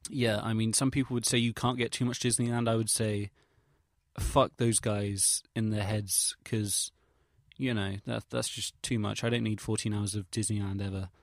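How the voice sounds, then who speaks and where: A young English man with a fairly low voice talks in a casual audio log, recorded close and dry. He rambles hesitantly through his recollections, using slangy, sweary, self-deprecating wording. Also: level tone, moderate pace, vocal fry, no echo. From England. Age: young adult. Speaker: vlogger.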